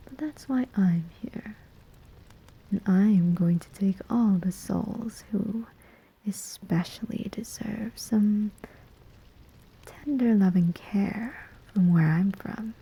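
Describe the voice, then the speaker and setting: Woman acting as female demon, soul collector, ASMR roleplay, breathy whisper, very mellow, sly, taunting, menacing, deliberate. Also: medium pitch, exaggerated voice, poor audio. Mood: mock sympathy, sly. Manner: slow, deliberate, teasing.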